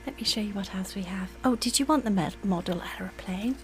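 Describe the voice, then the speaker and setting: A woman doing an ASMR roleplay as a reassuring, attentive air hostess, speaking softly and soothingly, sometimes in a whisper. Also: medium pitch, a little fast, slight background noise. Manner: soft-spoken, soothing, partly whispered.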